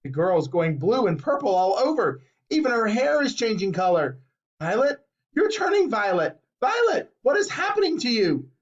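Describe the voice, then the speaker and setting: A man's voice: sing-song, moderate pace, few pauses. A man voicing a frantic middle-aged mother who shrieks in panic, in a muffled recording. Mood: shrieking. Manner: shrill and panicky.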